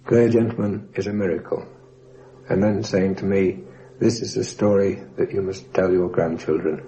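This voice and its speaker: A man's voice: low voice, fairly even intonation, normal speed, dark tone. A middle-aged or older man giving reflective testimony about his own life as a documentary interviewee. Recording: dull noisy archive recording.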